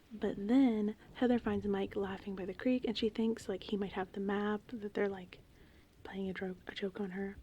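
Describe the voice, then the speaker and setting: A woman speaking in a breathy, hushed whisper as an ASMR host, rambling informally through a film recap. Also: medium pitch. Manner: informal, rambling, conversational retelling. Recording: faint background noise, rain and thunder ambience. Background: gentle rain and thunderstorm ambience.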